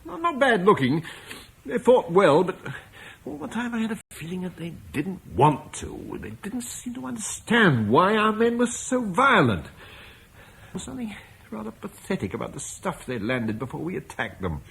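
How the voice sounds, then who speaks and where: An actor playing a gentle, troubled Roman landowner and father, speaking reflectively in a vintage radio drama. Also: high voice, big pitch swings, normal speed, creaky, a little hiss or hum, heavily acted. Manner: reflective, soft-spoken, haunted.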